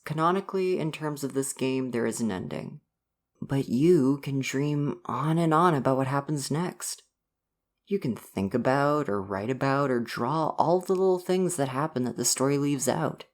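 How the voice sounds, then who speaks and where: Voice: mid-range voice, lightly acted. A performer playing an affectionate visual novel boyfriend in an ASMR roleplay, earnest and reassuring. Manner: soft-hearted, reassuring, earnest. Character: gentle, affectionate dating-sim boyfriend come to life. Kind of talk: ASMR roleplay, personal affirmation.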